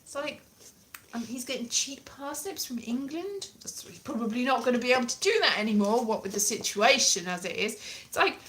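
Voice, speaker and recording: Bright, high-voiced woman chatting away. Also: animated, a little fast. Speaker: vlogger, language learner. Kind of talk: casual rambling chat to camera. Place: small plain room indoors.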